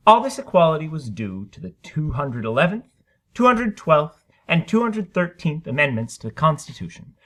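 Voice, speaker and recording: A man's voice: a little high, a bit bright. A man reading a short story aloud as a dramatic narration. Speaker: story reader.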